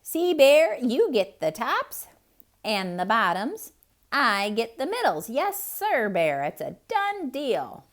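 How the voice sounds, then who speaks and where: A woman voicing a tricky, cheerful male hare who calls out in a high, smug voice. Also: wildly swooping pitch, slow pace, exaggerated voice.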